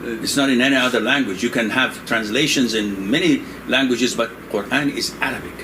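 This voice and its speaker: An older man originally from Indonesia, a religious scholar answering questions in a relaxed, joking, digressive way, laughing often. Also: medium pitch, brisk. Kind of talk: conversational religious discussion. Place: room in Saudi Arabia. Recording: noisy room with air conditioning hum.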